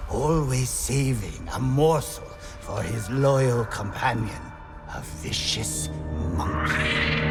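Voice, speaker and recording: An actor playing a haunted pirate sailor who spins grim legends with theatrical, ominous pauses in a video game. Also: male voice, medium pitch, vocal fry, exaggerated voice. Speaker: storyteller. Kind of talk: dramatic campfire-style storytelling in a video game.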